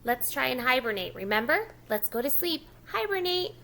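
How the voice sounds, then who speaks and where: A woman working as a preschool teacher, reading a picture book aloud expressively and pausing with encouraging, simple prompts for young children. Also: sits high, sing-song, gravelly, pushed and bright.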